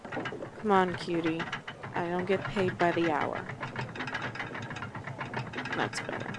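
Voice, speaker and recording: A woman's voice: lowish voice, background noise. A woman playing a brash, teasing female orc guide in a fantasy audio roleplay, with a blunt, sardonic manner. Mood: teasing, bossy.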